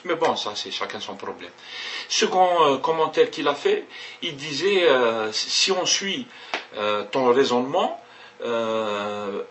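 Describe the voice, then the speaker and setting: A man's voice: mid-range voice, fairly bright tone, slight background noise. A man with Kabyle as his first language, talking casually to a webcam in a rambling way, with many hesitations and restarts. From Kabylie, Algeria. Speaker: vlogger. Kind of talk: casual webcam talk to viewers.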